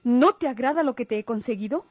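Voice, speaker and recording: An actress playing a bossy, proud sweetheart with a Mexican accent, emphatic and commanding, in old radio drama style. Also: female voice, a little high, lively intonation, quick pace, a little mellow, natural voice. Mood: reproachful. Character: bossy, encouraging, proud sweetheart of a timid young man.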